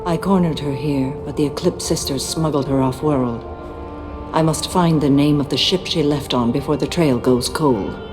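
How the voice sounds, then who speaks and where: An actress playing a calm, implacable warrior-monk in a video game, speaking with formal, stately care. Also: female voice, deep voice, normal speed, music in the background, lightly acted. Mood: solemn, courteous.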